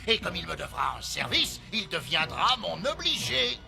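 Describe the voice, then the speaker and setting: Un comédien de doublage qui joue un magouilleur lâche, obséquieux et geignard de dessin animé, théâtral dans son jeu. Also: male voice, high-pitched, very animated, normal speed, creaky, music in the background, heavily acted. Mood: smug, scheming. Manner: theatrical, sneering then pleading. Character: cowardly scheming male match-fixer, sycophantic and whiny. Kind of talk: animated series dub acting.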